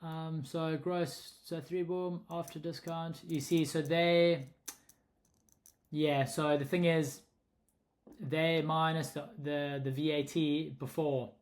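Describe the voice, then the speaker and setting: A man's voice: on the high side, little pitch movement, takes it slowly. A young man with a South African accent casually talking through a pricing tutorial, thinking aloud and often correcting himself. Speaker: short-term rental host explaining pricing. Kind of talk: casual screen-recorded tutorial.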